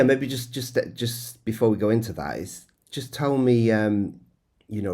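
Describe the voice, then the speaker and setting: A man hosting a podcast interview, speaking in a measured way with some hesitant restarts. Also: deep voice, a little mellow. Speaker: podcast host.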